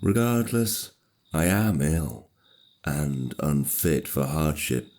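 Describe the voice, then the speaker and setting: An actor playing an ailing, sympathetic but reluctant friend in an audio drama, speaking in a measured, formal, courteous way. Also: male voice, extremely low pitch, sing-song, a little mellow, full character voice. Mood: regretful.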